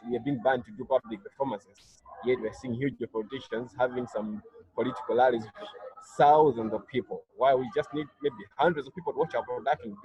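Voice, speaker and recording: A young man with a Malawian accent, speaking as a guest in an interview in a soft, hesitant way over a video call. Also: mid-range voice, dull audio. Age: young adult. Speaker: guest artist.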